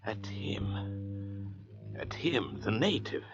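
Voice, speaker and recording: A man's voice: fairly low pitch, drawn-out words, music in the background. A man playing an arrogant, learned castaway doctor in a 1940s radio drama, weary and theatrically lecturing. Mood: brooding, intense. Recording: dull 1940s radio recording.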